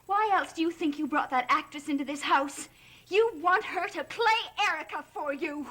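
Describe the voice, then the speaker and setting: A woman's voice: sits high, normal speed, sharp tone. An actress playing a lovestruck, defiant young woman in a gothic soap opera, intense and emotional.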